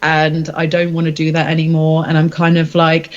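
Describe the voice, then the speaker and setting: A woman's voice: low voice, monotone, normal speed, muffled recording. A woman singer-songwriter chatting casually in an interview, candid and hesitant as she thinks aloud.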